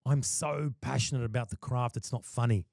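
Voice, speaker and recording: A man's voice: mid-range voice, fairly even intonation, fairly quick, vocal fry, darkish tone, dry recording, studio quality. A man, a wedding MC and podcast guest, talking passionately and emphatically, often repeating words as he starts a thought. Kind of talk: casual podcast chat.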